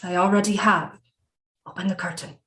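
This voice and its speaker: A woman acting as a commanding, scheming Renaissance queen mother in an audio drama, with formal, deliberate delivery. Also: mid-range voice, steady tone, a bit bright, close to an everyday voice. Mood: urgent, grim. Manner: imperious, formal, deliberate diction.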